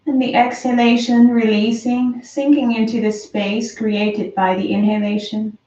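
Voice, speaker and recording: A woman slowly and softly guiding a breathing meditation, calm with long pauses. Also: on the high side. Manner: slow, soft, calm guidance with long pauses. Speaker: meditation teacher. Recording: roomy, distant, dull lo-fi sound.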